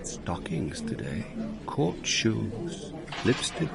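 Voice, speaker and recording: An actor playing a blunt, worldly police inspector in a radio drama, brusque, commanding and wry. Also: male voice, on the low side, very animated, drawn-out words, vocal fry, over a noisy crowd, full character voice. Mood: dry, reflective. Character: blunt, worldly Dutch police inspector, narrating his case. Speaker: actor and narrator.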